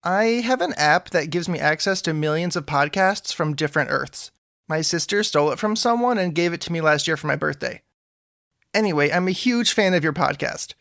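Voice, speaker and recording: A man's voice: on the high side, animated, brisk, vocal fry, a bit bright, no echo, clean studio sound. A man playing an earnest, awkward young superhero sidekick who politely rambles through explanations in an audio drama. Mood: earnest, explaining. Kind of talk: scripted audio drama dialogue.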